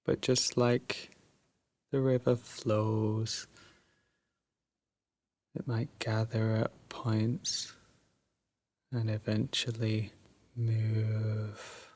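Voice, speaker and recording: A man guiding a sleep meditation in a soft, drowsy, soothing voice with long pauses, at times breathy and close to a whisper. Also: on the low side, little pitch movement. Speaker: meditation guide. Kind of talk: guided sleep meditation, Yoga Nidra.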